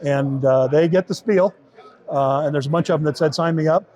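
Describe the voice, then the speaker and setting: A man's voice: on the high side, a little fast, a little mellow. A man giving an informal, step-by-step demo and explanation of how something works. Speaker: beta test supervisor giving a product demo.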